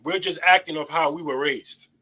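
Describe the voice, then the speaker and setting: Young man, host, high voice, bright tone, blunt, swears a lot, preachy. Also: normal speed, slightly rough. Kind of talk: casual panel debate.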